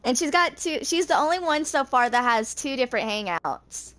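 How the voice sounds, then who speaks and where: A woman's voice: high voice, expressive, normal speed, background noise. A woman in her twenties chatting casually as she comments on a video game, informal and rambling. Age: 20s.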